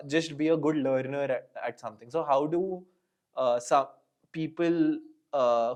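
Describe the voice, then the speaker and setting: A man's voice: medium pitch, a little mellow. A man with an Indian English accent hosting a casual podcast interview, asking long rambling questions and often affirming with "correct". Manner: affirming listener, frequent "correct" and "mm-hmm". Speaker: podcast host.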